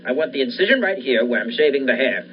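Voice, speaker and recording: A man's voice: mid-range voice, lively intonation, quick pace, natural voice. A young man playing an obsessed, commanding scientist and surgeon in the style of a 1940s radio thriller. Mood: urgent, commanding. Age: young adult. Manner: commanding, insistent. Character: obsessed scientist surgeon bent on reviving his dead wife. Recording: dull lo-fi audio, faint noise.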